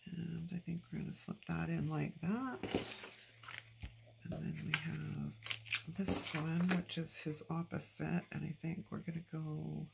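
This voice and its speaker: Stuffy-nosed woman crafter, low gravelly voice, chatty self-talk, noticeable background noise. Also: fairly even intonation, takes it slowly. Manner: chatty, talks to herself while working. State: stuffy nose from allergies. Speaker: planner decorating vlogger. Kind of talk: casual chatty crafting narration. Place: at a craft table, filmed from overhead.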